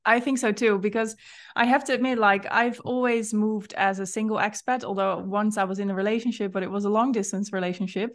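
A woman's voice: medium pitch, fairly even intonation, fast talker. The woman you would hear hosting an interview podcast, chatting with a guest who has called in from elsewhere. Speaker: podcast host. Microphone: remote call.

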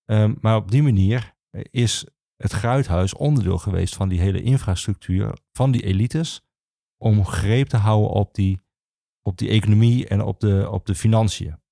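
A man with a Netherlands Dutch accent explaining as an interview guest in a measured, expository way. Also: on the low side, vocal fry, dark tone, no room sound, studio quality. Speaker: regional archivist, interview guest.

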